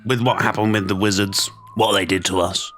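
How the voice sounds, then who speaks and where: A man's voice: on the low side, fairly even intonation, a little fast, a bit bright, soft background music, natural voice. A man playing a sceptical, world-weary storybook character in an audio drama, speaking emphatically and reflectively. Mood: gossiping.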